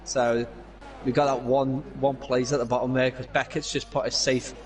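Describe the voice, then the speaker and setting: A man's voice: mid-range voice, flat delivery, normal speed, low-quality recording. A man with a Scouse accent sits in on commentary for an online racing league. He talks the listener through lap times, corners and qualifying rules in an analytical, explanatory way.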